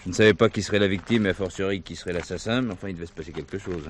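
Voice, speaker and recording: An actor playing a reserved, wry middle-aged police commissaire in a French crime drama, speaking in a measured, authoritative way. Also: male voice, low voice, a little hiss or hum, close to an everyday voice. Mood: grave, guarded.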